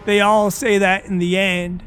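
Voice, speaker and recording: A man voicing a psychotic male captor with a butcher knife, speaking slowly and menacingly, over faint background music. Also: high voice, flat delivery, a bit bright. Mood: cold. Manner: slow, menacing, preachy.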